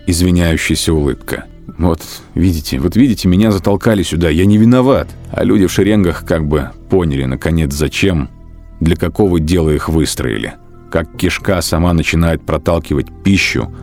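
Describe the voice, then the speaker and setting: A man's voice: sits low, darkish tone. A man narrating a literary radio production in a dramatic, scripted storytelling style. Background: faint music.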